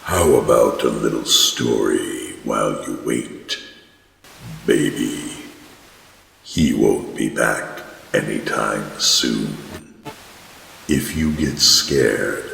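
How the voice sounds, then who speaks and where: A creepy radio horror host, taunting and slow, super deep gravelly voice, echoey roomy reverb. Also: male voice, bright, forceful tone, a little hiss or hum, heavily acted. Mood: menacing. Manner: slow, taunting, menacing delivery. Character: sinister, gloating male horror host of the night. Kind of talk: 1940s-style radio horror hosting. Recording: echoey reverb-heavy hall effect.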